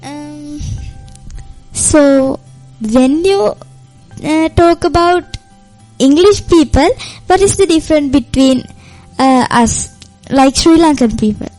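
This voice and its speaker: A woman with as her interviews in slow, halting English. Her voice is very high. Faint music plays behind her. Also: a little mellow, poor audio. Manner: hesitant, careful English. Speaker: radio interviewer. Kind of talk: interview questions.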